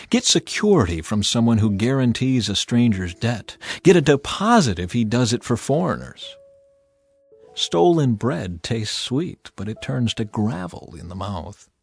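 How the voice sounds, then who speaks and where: A man reading scripture as scripted dramatized narration, in a measured, emphatic and expressive way. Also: medium pitch. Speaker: audiobook Bible narrator.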